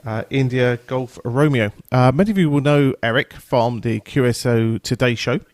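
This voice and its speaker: A British man hosting a podcast in an informal, halting way, with frequent filler sounds as he presents and interviews. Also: medium pitch, a little mellow, dry recording, clean studio sound. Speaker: podcast host and news presenter.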